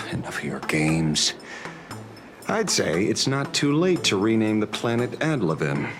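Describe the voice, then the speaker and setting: An actor voicing a gruff, cynical, mercenary old detective in a video game, with a sardonic, dramatic delivery. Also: male voice, fairly low pitch, very animated, takes it slowly, over music, exaggerated voice. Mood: gruff impatience.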